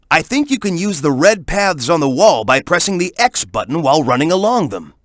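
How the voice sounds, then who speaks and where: A man's voice: on the high side, a bit bright, dry recording, studio quality. A man voicing a cocky, tough young echidna hero in a video game, giving hints in a confident, punchy, instructive manner. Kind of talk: video game voice acting, tutorial hints and banter.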